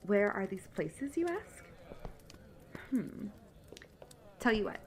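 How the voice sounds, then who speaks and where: A woman playing a cheerful, polite young travelling bard who chats brightly and directly to the listener in a fantasy audio roleplay. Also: mid-range voice, big pitch swings, slow, slight background noise. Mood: cheerful, chatty. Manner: chatty, bubbly, polite.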